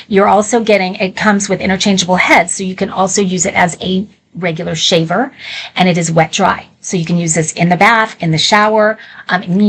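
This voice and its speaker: A woman hosting an informal live shopping demonstration, talking in a chatty, candid way with conversational asides. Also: mid-range voice.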